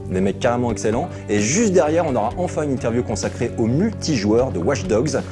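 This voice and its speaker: A man's voice: medium pitch, lively intonation, a little fast. A man co-hosting a show about video games in a conversational presenting style, with music behind him. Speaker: co-host and game critic. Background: music under the voice.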